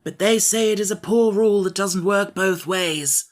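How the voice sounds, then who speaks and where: A soft-spoken, courteous scarecrow monarch with a slightly raised, doleful tone, as a male audiobook reader puts him on. He speaks politely and a little glumly. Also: high-pitched, moderate pace, voice pushed up, lightly acted. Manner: mild and polite, rather mournful. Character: polite, doleful straw-stuffed king. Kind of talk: audiobook character voice.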